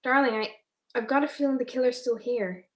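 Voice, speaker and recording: A woman plays a detective's witty wife in an old radio mystery. Her voice is on the high side, and she stays unflappable, droll and teasing. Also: fairly quick, close to an everyday voice. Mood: uneasy, wry. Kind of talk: 1940s radio comedy-mystery acting.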